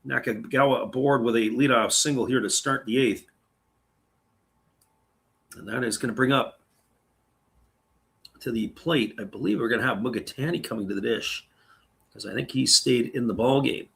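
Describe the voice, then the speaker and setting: A man calling a live baseball game play by play in a relaxed, chatty way, reading viewer comments between pitches, his voice going raspy. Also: normal speed, long pauses. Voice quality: gravelly. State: voice getting raspy.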